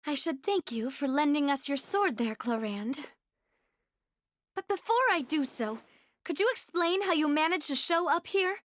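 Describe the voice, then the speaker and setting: A woman's voice: high-pitched, animated, moderate pace, fairly bright tone, no room sound. A woman playing a proud, guarded young noblewoman leader in a video game, haughty, pointed and formal in manner. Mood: cool, sarcastic.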